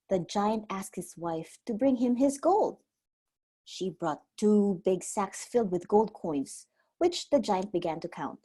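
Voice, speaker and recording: Slow, animated woman reading to children. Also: medium pitch, husky. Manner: explains words simply for small children. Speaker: preschool teacher reading a storybook aloud. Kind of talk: expressive children's storytelling.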